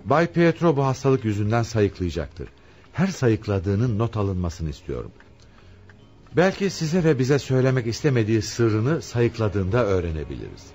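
An actor playing a commanding police commissioner in a radio play, authoritative, deliberate and probing. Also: male voice, fairly low pitch, very animated, darkish tone, slightly rough, heavily acted. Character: commanding male police commissioner leading a murder investigation. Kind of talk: radio theatre acting.

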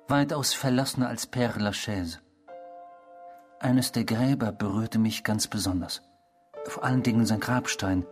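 A man's voice: medium pitch, lightly acted. A male actor in a German radio drama playing an intrusive, obsessive stranger who talks insistently and theatrically. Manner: insistent, theatrical, probing, swinging from hushed to emphatic.

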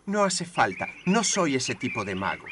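A man playing an eccentric, courtly underground wizard with theatrical, solemn pauses in an improvised comic radio sketch. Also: mid-range voice, sing-song, heavy background noise, lightly acted. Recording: loud music behind the voice.

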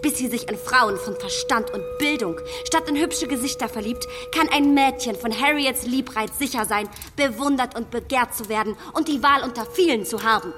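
An actress playing a clever, headstrong young matchmaker in a radio play, arguing in a spirited, defiant way. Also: female voice, fairly high pitch, moderate pace, few pauses, long reverb tail, over music, natural voice.

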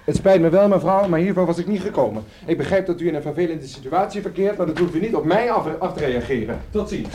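A man's voice: on the high side, lively intonation, quick pace, hardly any pauses, mellow, lightly acted. An actor in a radio play playing a polite but frank young male student, plain-spoken and courteous. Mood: defensive. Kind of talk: Dutch radio play acting.

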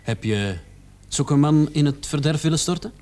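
Radio drama police interrogator, male played by a man, fairly high voice, needling, sardonic, sceptical questioning. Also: expressive, normal speed, darkish tone, lightly acted. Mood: pressing, accusing. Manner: probing, mocking.

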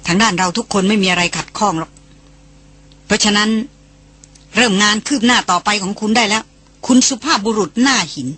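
A narrator voicing a calm, firm foreign woman who speaks low and sternly in a storybook reading. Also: brisk, pauses often. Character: blonde foreign woman, calm and firmly commanding.

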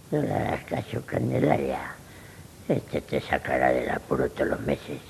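Slow creaky old father, easygoing and teasing, old radio comedy drama style. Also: extremely low pitch, wildly swooping pitch, darkish tone, a little hiss or hum, exaggerated voice. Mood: amused, teasing. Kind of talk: old radio serial acting.

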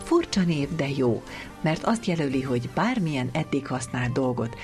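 A woman's voice: very deep voice, lively intonation, a little mellow. A woman hosting a radio programme, reading scripted narration. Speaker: radio host. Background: music behind the voice.

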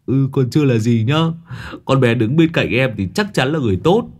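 A man's voice: medium pitch, animated, fairly quick, voice pushed up, natural voice. A man voicing a goofy, eager young male lackey who jokes cheekily, in a comic spoken story. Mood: sniggering. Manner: cheeky, sniggering.

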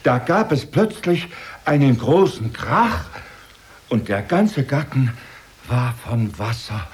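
An actor playing a humble, nervous, long-winded poor Russian merchant in a children's radio play. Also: male voice, mid-range voice, sing-song. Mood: nervous, eager. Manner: long-winded, stammering when hurried.

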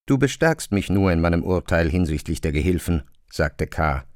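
A man's voice: deep voice, animated, moderate pace, a little mellow. A man working as a grave narrator in a literary radio play, telling a bleak story. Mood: firm.

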